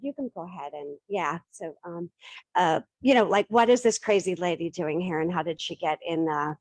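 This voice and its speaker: A woman's voice: fairly low pitch, normal speed, no echo, rough recording. A middle-aged woman giving a casual, self-deprecating talk to a live audience, tossing questions to the room. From Boston area. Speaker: startup pitch presenter.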